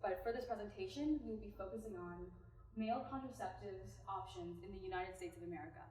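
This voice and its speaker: A woman from California presents a team pitch. She speaks to the room directly and engagingly, at a mid pitch. The recording sounds echoey, with the mic set far away. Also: steady tone, fast talker, dark tone. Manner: engaging, addresses the audience directly.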